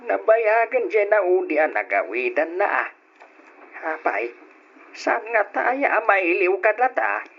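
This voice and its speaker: A man's voice: sits high, very animated, unhurried, gravelly, heavily acted. An actor playing a middle-aged father chatting casually with his wife in a radio drama, on a lo-fi old recording. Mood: chatty.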